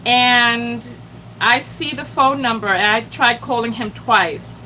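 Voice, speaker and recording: High-voiced woman hosting a live stream. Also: slow pace, a little hiss or hum, low-quality recording. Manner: animated, addresses the chat directly, exclaims "Lord have mercy". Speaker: live-stream host and self-love coach. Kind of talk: casual live-stream chat with viewers.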